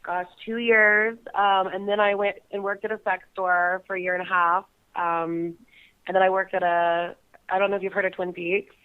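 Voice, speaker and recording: A woman's voice: medium pitch, level tone, moderate pace, smooth. A young woman from California's Bay Area chats as a phone-in guest, giggling often as she talks. Her voice sits in a middling register and comes through a narrow, tinny phone line. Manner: giggly, laughs often between phrases. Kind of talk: casual phone interview. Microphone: phone call.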